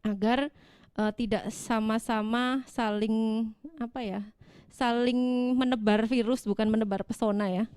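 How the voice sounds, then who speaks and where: A woman, a midwifery lecturer calmly explaining to the host with light jokes. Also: on the high side, a little slow, smooth, dry recording, studio quality. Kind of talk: explaining on a talk show.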